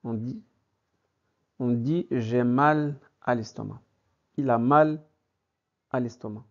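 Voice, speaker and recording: Male teacher, unhurried and methodical, repeats phrases for learners, slightly muffled recording. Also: mid-range voice. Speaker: French teacher. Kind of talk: slow vocabulary lesson. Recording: dull lo-fi recording.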